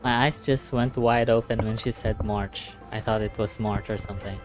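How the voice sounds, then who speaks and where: A man livestreaming a game with casual, slangy commentary, often halting and repeating himself. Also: on the low side, fairly even intonation. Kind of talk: casual live gameplay commentary. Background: faint game music.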